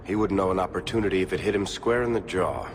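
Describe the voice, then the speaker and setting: An actor playing a roguish, smooth-talking male dwarf storyteller in a video game, with a wry, theatrical, persuasive way of talking. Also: deep voice, fast talker, a little hiss or hum, lightly acted. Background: game music.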